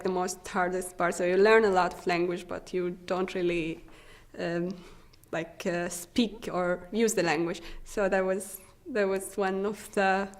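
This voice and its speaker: Young Syrian woman, halting interview answers. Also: medium pitch. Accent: Syrian Arabic. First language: Arabic. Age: young adult. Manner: halting, many fillers and restarts. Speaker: research assistant. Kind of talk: interview answers to off-camera questions.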